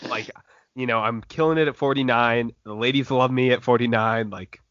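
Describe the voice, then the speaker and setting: A man's voice: medium pitch, fairly even intonation, normal speed, fairly bright tone, clean tone, no echo, lightly acted. A man putting on a boastful older man bragging about his age, in a dull, dry recording.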